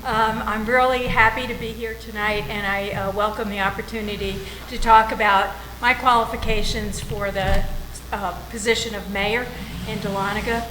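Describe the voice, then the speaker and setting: A woman giving a measured opening statement as a mayoral candidate, pausing often with fillers. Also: medium pitch, little pitch movement, sharp tone, roomy sound, a little hiss or hum, low-quality recording. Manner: measured, with frequent filler pauses. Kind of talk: opening statement at a public forum.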